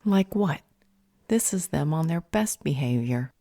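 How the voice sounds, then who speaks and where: A woman's voice: deep voice, animated, unhurried, warm tone, no echo, full character voice. A woman playing a level-headed, wry ally in a fantasy roleplay audio drama, dry and composed.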